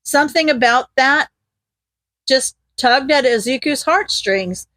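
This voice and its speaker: A woman reading fan fiction aloud as an expressive story narrator. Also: sits high, slow pace, no room sound. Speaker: podfic narrator.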